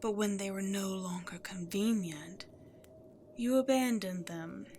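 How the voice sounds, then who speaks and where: A woman's voice: mid-range voice, measured pace, dark tone, a little hiss or hum, natural voice. A woman voicing an imperious, seductive demon queen in a scripted audio roleplay, theatrical and haughty, addressing the listener directly. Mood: smug, cold. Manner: theatrical, breathy asides, haughty. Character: imperious demon queen, seductive, gloating, easily exasperated villain.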